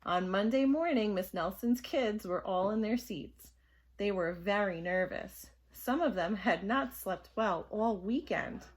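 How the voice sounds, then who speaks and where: A woman storyteller reading a children's book aloud in an expressive, dramatic style. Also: mid-range voice, unhurried.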